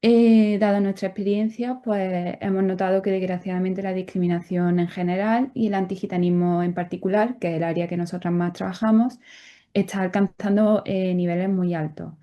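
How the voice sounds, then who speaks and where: A woman's voice: medium pitch, steady tone, normal speed, dull audio. A woman presenting her nonprofit's project at an online event, earnest and hesitating often.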